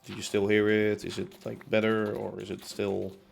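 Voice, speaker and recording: A man's voice: lowish voice, completely flat delivery, normal speed, gravelly, soft background music. A young man streaming from his apartment as he builds in a game, chatting casually and rambling with lots of fillers and restarts. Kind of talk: casual chat while building. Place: home room in a concrete apartment.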